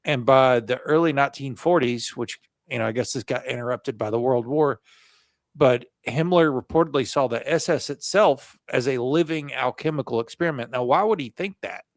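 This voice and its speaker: A man's voice: mid-range voice, normal speed, dry recording, studio quality. A man co-hosting a podcast, reading from his notes in a casual, jokey way and adding asides. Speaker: podcast co-host.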